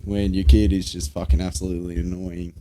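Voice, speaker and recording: A man's voice: sits low, little pitch movement, fairly quick, mellow. A young Australian man co-hosting a podcast, bantering casually and bluntly with plenty of swearing and slang. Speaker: podcast co-host.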